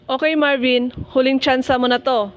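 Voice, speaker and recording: A woman's voice: sits high, flat delivery, normal speed, lightly acted. A woman with a Filipino accent playing a witty, blunt radio host in a comedic radio drama. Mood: stern, impatient. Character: witty, blunt radio host improvising through a chaotic broadcast. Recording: dull, noisy lo-fi recording with clicks.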